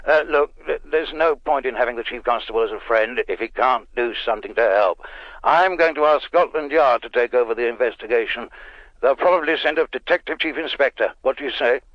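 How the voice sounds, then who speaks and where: An actor playing a bluff, sympathetic English chief constable in a radio drama, in a slightly muffled recording. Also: male voice, mid-range voice, brisk, no room sound, natural voice. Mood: concerned, decisive.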